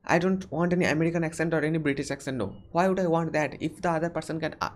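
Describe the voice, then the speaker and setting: A man's voice: a little high, racing. A young man with an Indian accent, hosting a casual live question-and-answer stream and rambling with many fillers and restarts. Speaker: livestream host answering viewer questions.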